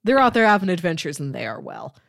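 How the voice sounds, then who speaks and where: A woman podcast co-host in a casual, enthusiastic chat about a TV series, quick to laugh. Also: fairly low pitch, very animated, gravelly, no room sound, studio quality.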